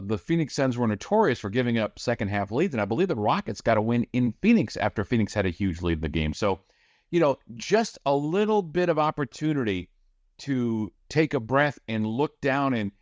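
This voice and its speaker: A man's voice: medium pitch, dry recording, clean studio sound. A man, a radio play-by-play announcer, talking energetically in a casual podcast chat. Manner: energetic, explanatory, rapid description.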